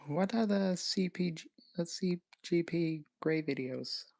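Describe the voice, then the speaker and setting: A woman from Canada on a casual live stream, naming items one at a time in short, unhurried phrases. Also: on the low side, darkish tone.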